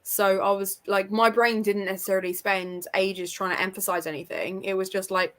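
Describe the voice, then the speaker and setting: A woman with a bright, crisp-edged tone at a middling pitch. She chats informally, as one of a panel discussing books. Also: fairly even intonation, normal speed. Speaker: book club readalong panellist. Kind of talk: casual book discussion chat.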